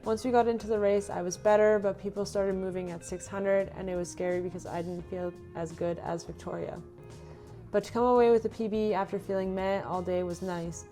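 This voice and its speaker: A woman's voice: medium pitch, fairly quick, faint music behind. Chatty young woman recapping her races. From Canada. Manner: relaxed, chatty, reads her race notes aloud. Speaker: runner recapping her racing season. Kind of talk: casual vlog narration.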